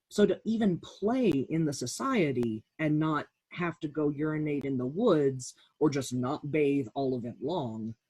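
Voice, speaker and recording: A man speaking as a round table panelist, calmly and earnestly sharing a personal account. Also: mid-range voice, husky, no echo, low-quality recording.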